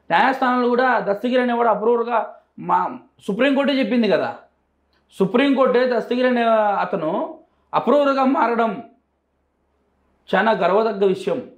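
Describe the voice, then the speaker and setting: High-pitched man with Rayalaseema Telugu accent. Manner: emphatic, repeats phrases for emphasis. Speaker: interview guest. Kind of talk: answering interview questions. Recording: echoey, roomy sound.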